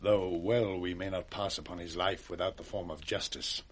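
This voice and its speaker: Husky, cruel British duke. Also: male voice, deep voice, steady tone, moderate pace. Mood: cold, vengeful. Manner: imperious, clipped orders. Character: cruel, commanding, vengeful duke. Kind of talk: 1960s Shakespearean radio acting.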